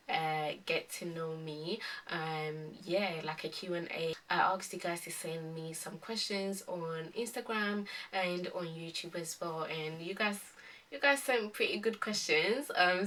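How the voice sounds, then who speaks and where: A woman in her twenties from Ghana, chatting calmly through a casual question-and-answer vlog with many pauses and ums. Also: lowish voice, distant mic. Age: 20s. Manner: calm, many ums and hesitant restarts. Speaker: vlogger.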